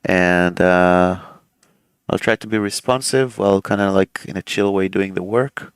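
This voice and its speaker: A man's voice: low voice, measured pace, clean tone, dry recording, studio quality. A man streaming a flight simulator session, chatting casually with many fillers while running cockpit checks, his throat a bit swollen. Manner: relaxed, rambling, many fillers. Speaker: flight sim streamer, airline pilot.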